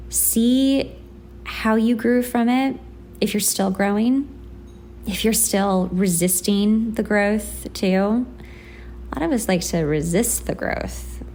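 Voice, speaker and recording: A woman's voice: medium pitch, darkish tone. Emphatic woman podcaster with background noise. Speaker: podcast host. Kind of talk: casual motivational solo podcast talk.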